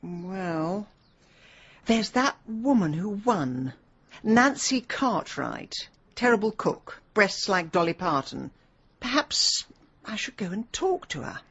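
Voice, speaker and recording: A woman playing a sharp, ambitious middle-aged English amateur sleuth in a radio drama, with a brisk, wry, dry delivery. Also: mid-range voice, big pitch swings, slightly rough, muffled recording, full character voice. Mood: wry, scheming.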